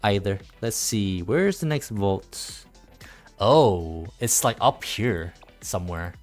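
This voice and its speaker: A man's voice: fairly low pitch, animated, slow pace. A man livestreaming a video game, chatting casually and easygoing with viewers as he plays. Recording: music playing behind the voice. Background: game music.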